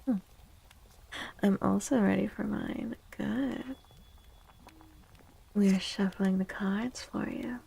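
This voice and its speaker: A woman streaming soft-spoken ASMR, chatting gently and playfully with viewers, often close to a whisper and repeating little phrases. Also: medium pitch, steady tone, slow pace, pauses often, rough recording. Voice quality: soft, breathy, husky, often near whisper. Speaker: ASMR streamer, tarot reader.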